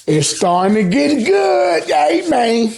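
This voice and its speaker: A man's voice: very high voice, takes it slowly, gravelly. An American man giving a casual, joking recap as a channel host, full of slang and swearing and acting out dialogue. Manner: slangy, profane, joking, animated. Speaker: host of an anime and manga review channel.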